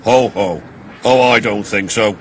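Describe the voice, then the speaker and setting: A man's voice: medium pitch, animated, measured pace, fairly bright tone, slight background noise. Man playing a stern, kindly railway boss. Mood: jovial. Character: stern but kindly male railway controller in charge. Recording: music behind the voice.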